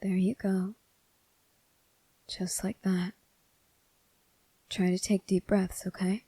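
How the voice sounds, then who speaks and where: Soft, mellow, slow-speaking kind young cadet played by a woman. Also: medium pitch, little pitch movement, breathy edge, dry recording. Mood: soothing, worried. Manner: gentle, hesitant, polite, softly reassuring. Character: kind, earnest teenage boy military cadet, soft-spoken and caring. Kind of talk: ASMR character roleplay.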